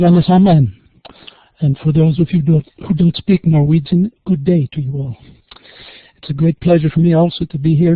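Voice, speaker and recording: An American-accented man presenting in a webinar, measured and pausing with frequent fillers, on tinny phone-like audio. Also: fairly high pitch, slightly rough, no room sound. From USA. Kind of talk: presentation talk.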